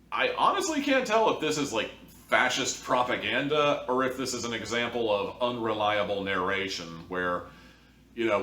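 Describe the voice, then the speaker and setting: A man with a fairly low voice delivers an informal critical rant as a reviewer. He sounds exasperated and theatrical, stretching words for emphasis. The recording is roomy and distant, with some background noise. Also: fairly bright tone. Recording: echoey room, distant mic, faint noise.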